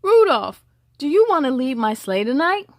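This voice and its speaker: A kindly old Father Christmas from a storybook performed by a woman reader, high in pitch. The delivery is encouraging, emphatic and declaimed, with a dry close sound. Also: sing-song, normal speed, dry recording.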